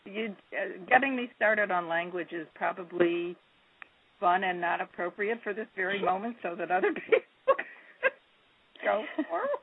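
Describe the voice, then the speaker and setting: A woman's voice: mid-range voice, expressive, normal speed, husky. A woman chatting with a group on an online call. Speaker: group participant. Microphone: video call. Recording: tinny call audio.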